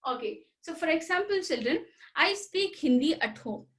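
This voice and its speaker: A woman's voice: very high-pitched, expressive, moderate pace, a bit bright. A woman teacher giving a computer lesson to children. She repeats key points, asks the class questions and has them say words along with her. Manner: repetitive, addresses children directly, asks questions. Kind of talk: recorded school computer lesson.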